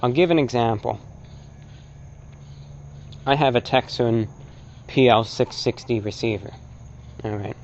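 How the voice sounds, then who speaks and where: A man presenting an informal, opinionated lecture as a broadcaster, speaking emphatically and deliberately with rhetorical repetition. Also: medium pitch, muffled recording, a little hiss or hum. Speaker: host and presenter, radio broadcaster.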